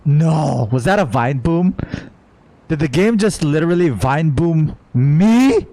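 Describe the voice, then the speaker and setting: A man's voice: fairly high pitch, expressive, slow pace, mellow. A man streaming a game, reacting in casual, slangy commentary with playful heckling.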